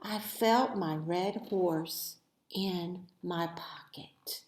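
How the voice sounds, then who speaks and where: A woman reading a children's storybook aloud as expressive narration, with dramatic, deliberate phrasing. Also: fairly low pitch. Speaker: storybook reader. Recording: echoey room.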